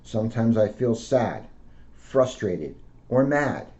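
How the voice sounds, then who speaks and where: A man, a police officer, reading aloud to children in simple, deliberate phrases. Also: medium pitch, sing-song, darkish tone. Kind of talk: read-aloud storytelling with casual asides. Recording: dull lo-fi sound.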